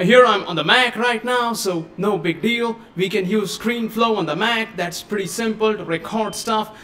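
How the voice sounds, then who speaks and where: Excitable man hosting a live tutorial. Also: sits high, a little hiss or hum. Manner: excitable, exclamatory, chatty. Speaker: tech tutorial host. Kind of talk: casual live-stream tutorial. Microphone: USB studio microphone.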